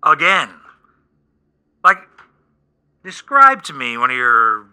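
A man's voice: medium pitch, very animated, takes it slowly, pushed and bright, clear, resonant tone, exaggerated voice. A man acting an exasperated, sarcastic, prying Hollywood agent in a comedic radio play, talking in incredulous, rambling rants. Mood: sardonic disbelief.

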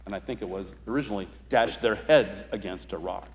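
A man's voice: on the low side, brisk, slight background noise. Man preaching in a reverberant hall. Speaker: pastor preaching a sermon. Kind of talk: sermon. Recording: reverberant hall, slightly dull sound.

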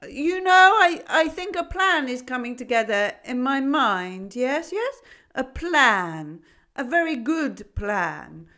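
A woman voicing a lonely, blind old goat with a gruff, cobwebby voice and a fussy way of talking, in a read-aloud storybook. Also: high-pitched, big pitch swings, slow, pushed and bright, pitched above the performer's own voice, exaggerated voice. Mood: scheming. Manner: fussy, keeps asking "yes, yes?". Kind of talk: storybook reading.

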